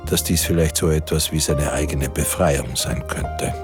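An actor playing a solemn, measured narrator of a war story in a radio drama. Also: male voice, extremely low pitch, fairly even intonation, over music.

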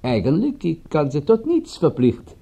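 Donkere, aarzelende Franse inspecteur. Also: male voice, on the high side, wildly swooping pitch, vocal fry, warm tone, exaggerated voice. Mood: apologetic, evasive. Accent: French. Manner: halting, polite speech with inverted word order. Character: courteous, hesitant French police inspector. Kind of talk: radio drama acting.

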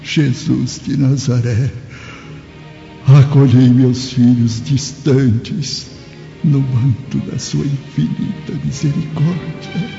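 An actor playing a gentle, devout old Samaritan in a radio drama, speaking solemnly and prayerfully. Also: male voice, medium pitch, steady tone, slow pace, vocal fry. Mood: resigned prayer. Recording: dull, echoey recording with long reverb, music. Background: music.

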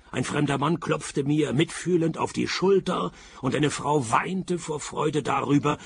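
An actor playing a world-weary, sardonic private detective in a radio play, narrating and talking in a laconic deadpan. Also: male voice, fairly high pitch, vocal fry, breathy edge, full character voice. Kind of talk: first-person narration and dialogue in radio play.

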